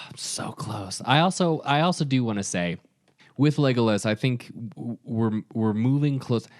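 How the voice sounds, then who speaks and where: A man's voice: medium pitch, a little slow, no room sound, studio quality. A man co-hosting a podcast in a casual debate, with a teasing, playfully competitive manner. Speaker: podcast co-host.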